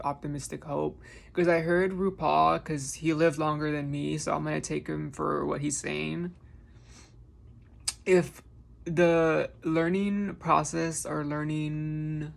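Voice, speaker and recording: A man chatting his way through a makeup tutorial, drifting from one thought to the next. His voice carries a gravelly vocal fry and stays fairly flat in pitch. He speaks at an unhurried, slow pace. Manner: rambling, musing train of thought. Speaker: makeup vlogger. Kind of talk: casual chat during a makeup tutorial.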